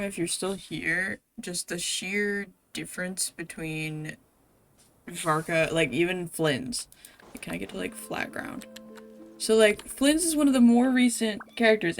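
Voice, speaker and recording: A woman's voice: mid-range voice, unhurried. A woman streaming a video game, commenting in an informal, chatty, amused way. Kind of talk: casual live stream commentary.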